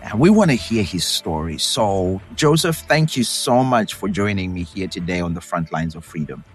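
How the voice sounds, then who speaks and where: A man with a Zimbabwean accent hosting an interview podcast, speaking in an earnest, measured and emphatic way. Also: low voice, animated, soft background music. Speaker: podcast host and democracy activist.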